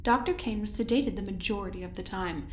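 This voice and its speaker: An actress playing a smooth, patronizing woman who directs a research project on a spaceship in an audio drama, with mock-sweet control. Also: medium pitch, brisk, slight background noise, close to an everyday voice. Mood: condescending, controlling. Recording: roomy, echoey sound, music underneath. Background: music.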